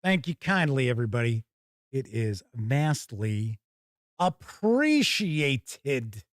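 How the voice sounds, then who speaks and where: A man's voice: medium pitch, very animated, slow, no room sound, clean studio sound. An American man hosting a live stream, talking in a casual, chatty and emphatic way with colloquial turns of phrase. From United States. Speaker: YouTube live stream host.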